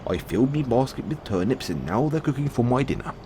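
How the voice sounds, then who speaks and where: A man's voice: mid-range voice, big pitch swings, quick pace, mellow, voice pushed up, lightly acted. Guy doing a clever little pig from a storybook, plucky n teasing, bouncy intonation, noisy background. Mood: cheeky. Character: clever, cheeky young male storybook pig.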